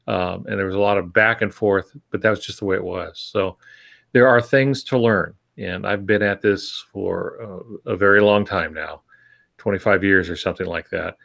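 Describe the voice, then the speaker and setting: Low-pitched older man, gravelly, measured. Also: steady tone, a bit bright. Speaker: podcast co-host and veteran writer. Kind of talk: reflective advice on a podcast.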